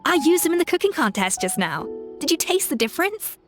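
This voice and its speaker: An actress playing a poised female chef in a video game, speaking in a calm, measured, articulate way. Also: high-pitched, music in the background.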